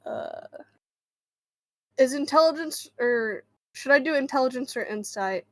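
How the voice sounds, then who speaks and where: A woman's voice: sits high, expressive, unhurried, no room sound. A woman playing a tabletop role-playing game, chatting casually about her character's actions and rolls.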